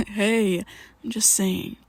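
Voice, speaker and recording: Hesitant, husky young-sounding woman, whispery. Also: mid-range voice, no room sound. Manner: hesitant and rambling, halting restarts; soft, often drops to a whisper. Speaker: ASMR roleplay creator. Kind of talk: ASMR roleplay, speaking to the listener as a best friend.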